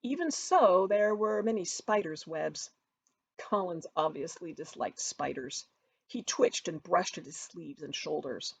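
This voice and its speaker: A woman author reading her own novel aloud in an expressive storytelling narration. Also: fairly low pitch, a little slow, creaky, husky.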